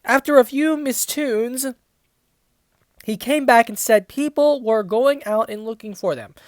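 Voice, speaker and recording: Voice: mid-range voice, unhurried, no echo, studio quality. A person reading a story aloud, apparently in their twenties, breaking off into sarcastic, sweary casual commentary. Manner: sarcastic, profane asides. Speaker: reviewer reading fan fiction aloud. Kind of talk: dramatic read-aloud with mocking casual commentary.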